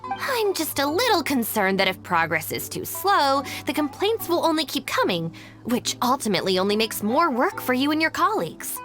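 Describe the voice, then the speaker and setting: Give the impression of a responsible young woman in a video game. Her voice is high and very expressive, with polite, formal phrasing that sets out to persuade. Music plays clearly behind her. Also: moderate pace. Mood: apologetic, formal. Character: poised young woman, diplomatic deputy of a gang, sternly responsible.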